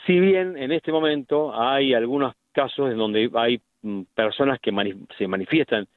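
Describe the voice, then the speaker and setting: A middle-aged man with an Argentine accent, a medical specialist explaining things deliberately in a radio interview, with frequent restarts. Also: mid-range voice, dry recording. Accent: Argentine Spanish. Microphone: phone line. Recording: tinny phone line, dry.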